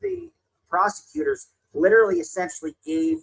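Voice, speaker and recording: A reporter gives a plain spoken update on a court case, walking through each step. The voice is slightly husky. The pitch moves up and down freely while explaining. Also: very animated, normal speed, low-quality recording. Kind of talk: news update.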